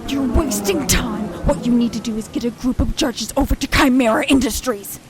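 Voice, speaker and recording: Woman playing a brisk, high-voiced cop. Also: slight background noise, close to an everyday voice. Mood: insistent. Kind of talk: audio drama acting.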